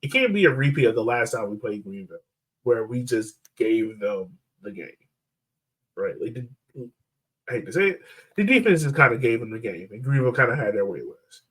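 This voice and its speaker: A man's voice: mid-range voice, big pitch swings, fairly quick, a bit bright, rough recording. A man hosting a sports podcast in casual, conversational soccer chat.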